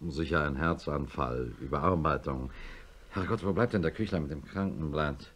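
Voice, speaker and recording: Low-voiced, measured middle-aged professor. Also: male voice, a bit bright. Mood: guarded. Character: weary, authoritative middle-aged male neurosurgeon professor. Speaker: narrator and lead actor. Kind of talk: German radio drama acting.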